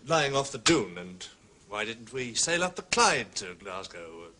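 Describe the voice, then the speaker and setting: An actor playing an indignant, blustering man under questioning in a radio drama, with a husky voice. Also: fairly low pitch, sing-song, a little slow, pushed and bright, a little hiss or hum, full character voice. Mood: defensive, recounting. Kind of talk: British radio drama acting.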